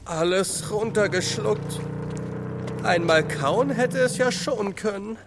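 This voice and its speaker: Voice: medium pitch, takes it slowly, noisy background. A performer playing a cheeky, hungry young toy adventurer in a children's audio drama, with dry quips. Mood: coaxing.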